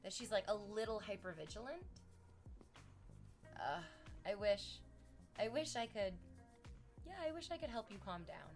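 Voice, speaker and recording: Relaxed, chatty woman livestreaming, drifting between talk with her viewers and sweet baby talk to her dog. Her voice sits at an ordinary middle pitch. Soft music plays low behind her. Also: moderate pace, pauses often. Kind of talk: casual livestream chat.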